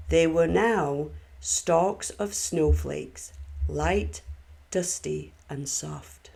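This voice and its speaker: Low-pitched, unhurried woman reading a storybook, slightly creaky. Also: darkish tone. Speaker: storybook reader. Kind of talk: expressive children's story narration.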